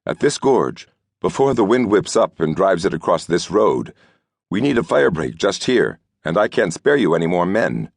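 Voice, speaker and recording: A man voicing a young lord who commands a fire brigade in an audiobook, formal, brisk and decisive. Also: deep voice, sing-song, vocal fry, no echo, studio quality, exaggerated voice. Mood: brisk, practical. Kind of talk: audiobook character dialogue.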